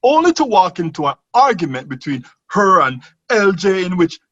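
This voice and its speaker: An actor playing a hurt, sarcastic betrayed husband in a radio drama. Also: male voice, sits high, big pitch swings, moderate pace, a bit bright, dull audio, full character voice. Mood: indignant, sarcastic.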